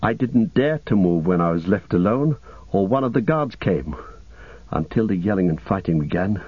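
A man's voice: sits low, expressive, normal speed, breathy edge, no room sound, phone-quality sound. A man voicing a wounded, despairing young hobbit in an audiobook, anguished and breathless, veering from weary to frantic. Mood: weary, shaken. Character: wounded, despairing, feverish young male ring-bearer hobbit.

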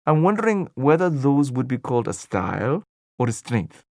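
A man's voice: medium pitch, big pitch swings, moderate pace, dry recording, clean studio sound. A man voicing an older male Buddhist teacher in an audiobook dialogue, earnest and questioning, thinking aloud as he searches for meaning. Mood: puzzled. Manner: questioning, searching, thoughtful delivery.

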